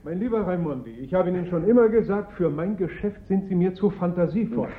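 Radio drama: grumbling, self-important gallery owner, fairly high swooping voice, thin phone-like sound. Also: male voice, animated, fairly quick. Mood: reproving. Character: sceptical, irritable male art gallery owner. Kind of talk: German radio play acting.

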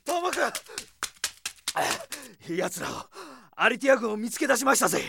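An actor playing a crude, cocky and excitable bandit underling with coarse, slangy speech in an audio drama. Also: male voice, extremely high pitch, normal speed, creaky, soft background music, heavily acted. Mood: eager. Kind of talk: anime-style audio drama acting.

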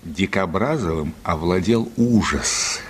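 Animated, husky male storyteller. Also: deep voice, slow. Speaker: narrator. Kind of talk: dramatic literary narration in a radio play.

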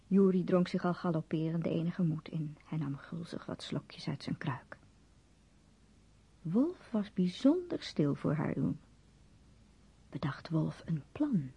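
A woman narrating a radio play in a measured storytelling manner. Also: low voice, lively intonation, vocal fry, husky. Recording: muffled radio play recording.

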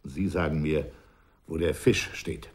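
A man's voice: a bass voice, unhurried. An actor playing a cynical, amused older official in a radio drama, musing sardonically and savouring his words. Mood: brisk, shrewd. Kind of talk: German radio drama acting.

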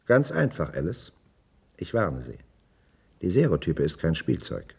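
Flustered, husky, low-voiced male official. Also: wildly swooping pitch, unhurried, darkish tone, heavily acted. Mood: commanding, secretive. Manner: hesitant, stammering, impatient. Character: flustered male official, servile to superiors, pushy with subordinates. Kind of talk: German radio play acting.

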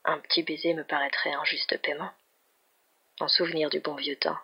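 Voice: medium pitch, fairly even intonation, a little fast, gravelly. A storybook narrator voicing a guarded, stubborn injured man with a reluctant, dryly teasing manner. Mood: mock-polite, flirtatious. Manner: reluctant, grumbling, dry. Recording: dull, muffled lo-fi recording.

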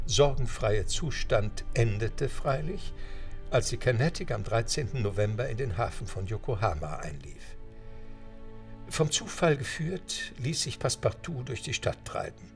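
A man's voice: mid-range voice, animated, unhurried, faint music behind. A man narrating an adventure story in a radio play, composed and lightly wry, in scripted style. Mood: composed, storytelling.

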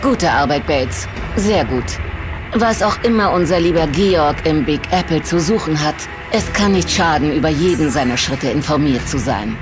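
An actress in a German audio drama playing a cool, commanding surveillance boss with a measured, authoritative manner. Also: female voice, sits low, lightly acted. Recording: music behind the voice.

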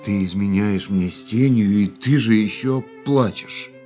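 A man playing a jealous lover, low voice. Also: measured pace, dark tone, close to an everyday voice. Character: passionate jealous male racing driver. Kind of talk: radio play acting. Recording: radio play with faint music.

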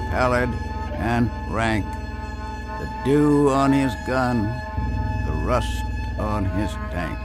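A man reading verse aloud in a slow, drawn-out, declamatory way. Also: medium pitch. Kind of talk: slow dramatic poetry reading.

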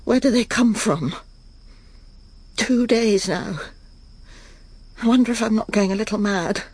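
Prim middle-aged English lady, muddled and weary, unhurried, breathy husky voice, radio play. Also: medium pitch, a little mellow, dry recording. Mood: anxious confiding. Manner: polite, halting, breathy murmured self-talk. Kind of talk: radio drama acting, intimate monologue and dialogue.

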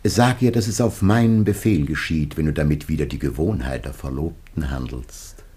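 An actor playing a grave, aged biblical patriarch facing death, declaiming solemnly and haltingly in a classic radio drama. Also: male voice, a bass voice, warm tone, slightly rough. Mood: grave, tender.

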